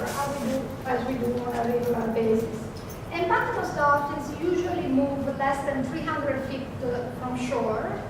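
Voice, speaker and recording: A high-voiced woman with a strong Italian accent tells personal stories about her research work. She pauses often on hesitant fillers, and her voice comes from far off, echoing through a large hall over steady background noise. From Italy. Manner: hesitant, frequent "uh" fillers, lively storytelling. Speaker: marine biologist giving a talk. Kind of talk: personal storytelling talk. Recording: echoey hall, distant mic, background noise.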